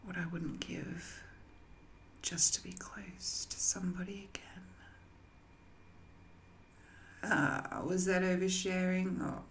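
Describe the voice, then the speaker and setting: A woman playing an ancient banished evil spirit in an intimate audio drama, seductive, lonely and sly, with a coy, breathy delivery that often slips toward a whisper. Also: fairly low pitch. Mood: yearning, intimate.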